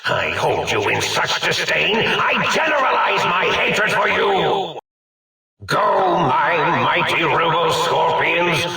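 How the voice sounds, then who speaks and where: An actor voicing an eccentric, absent-minded mad scientist in a video game, a comically villainous figure who rants and rambles in a theatrical, grandiose way. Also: male voice, high-pitched, vocal fry, pushed and bright, heavy reverb, recorded from a distance, exaggerated voice. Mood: grandiose ranting.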